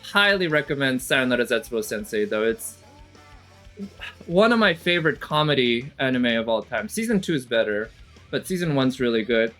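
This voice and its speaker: A man's voice: medium pitch, animated, normal speed, a bit bright, soft background music. Hesitant, analytical man commentating. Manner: hesitant, thinking aloud, analytical. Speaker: reaction streamer. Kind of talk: casual off-the-cuff commentary.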